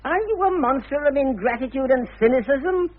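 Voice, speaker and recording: An actor playing a slick, cynical, domineering publicity promoter in a radio play, with brisk theatrical delivery. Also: male voice, very high voice, expressive. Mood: indignant, scornful. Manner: brisk, domineering, self-satisfied. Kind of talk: theatrical radio drama acting. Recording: tinny narrow-band old radio recording, faint noise.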